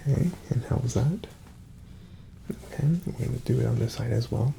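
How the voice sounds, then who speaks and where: A very creaky, soft male voice that often slips toward a whisper, playing a doctor in an ASMR exam. He murmurs gently and reassuringly to the listener in short, unhurried phrases. Loud background noise sits behind him. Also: mid-range voice. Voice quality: soft-spoken, breathy, often dropping to a whisper. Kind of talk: ASMR medical exam roleplay.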